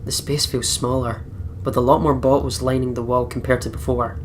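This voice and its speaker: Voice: mid-range voice, little pitch movement, brisk, slight background noise. A let's play host reading game narration aloud dramatically, with casual, sweary commentary.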